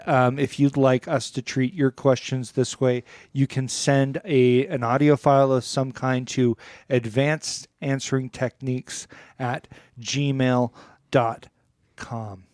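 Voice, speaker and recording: A man hosting a comedy podcast in a casual, deadpan way with a deliberate, halting delivery. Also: mid-range voice, no room sound, studio quality. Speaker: podcast host.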